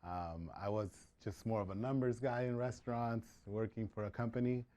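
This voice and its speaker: A man's voice: on the low side, fairly even intonation, moderate pace, mellow. A man in his early forties, a chef, talking casually and explaining his cooking as a contestant. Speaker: restaurant owner and chef, contestant. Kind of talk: casual talk and cooking demonstration.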